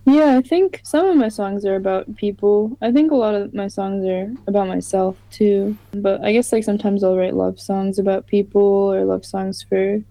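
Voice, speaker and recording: A young woman musician answering interview questions in a relaxed way, with lots of "like" fillers. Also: mid-range voice, normal speed, hardly any pauses, no room sound, low-quality recording. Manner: relaxed, filler-heavy with frequent "like". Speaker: musician guest.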